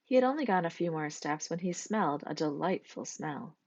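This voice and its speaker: A woman's voice: deep voice, animated, a little fast, a little mellow, lo-fi sound. A woman reading a scripted children's story as its narrator. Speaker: storybook reader.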